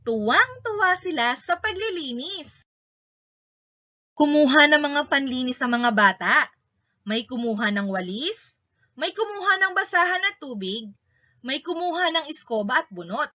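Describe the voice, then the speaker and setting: A woman's voice: high voice, very animated, unhurried, bright, forceful tone. A woman with a Filipino accent reading a children's story aloud expressively, in short, emphatic sentences. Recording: dull, lo-fi recording.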